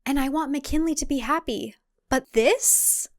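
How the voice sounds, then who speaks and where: Defiant young thriller heroine, acted by a female narrator, highish voice, emphatic delivery. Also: measured pace. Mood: resolute. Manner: emphatic, indignant. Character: defiant, resolute young woman confronting a villain. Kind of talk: thriller audio drama acting.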